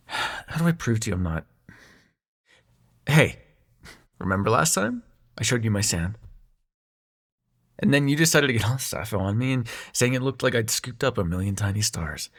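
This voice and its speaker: Casting: male actor as protective young dream god for intimate roleplay audio; highly animated intonation, wry, sweary, hesitant, tender. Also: vocal fry, breathy edge, heavily acted. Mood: apologetic, gentle. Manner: conversational, hesitant, sarcastic, swearing. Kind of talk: intimate audio roleplay acting, addressed to the listener.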